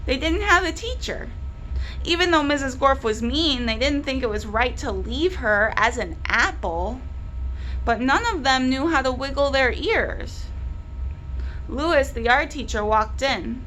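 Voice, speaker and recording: A woman reads a children's story aloud with a bright, high voice. Her delivery is lively and expressive, pitched at young listeners, over clear background noise. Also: measured pace, low-quality recording. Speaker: library storyteller.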